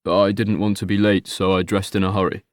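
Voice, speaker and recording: A meek young man, nearly thirty, in an audiobook, as a male reader voices him. He speaks low and quietly and sounds apologetic. The recording is dry and close. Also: flat delivery, fairly quick, dry recording, close to an everyday voice. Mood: subdued.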